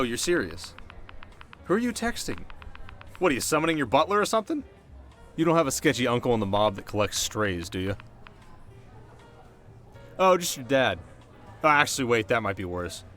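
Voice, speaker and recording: A man acts out a cocky delinquent teenage boy from the rough side of town in an ASMR audio roleplay. He talks casually to the listener with wry, sarcastic banter at a medium male pitch. Music plays faintly behind him. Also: very animated, normal speed, long pauses, fairly bright tone. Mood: incredulous, nervous.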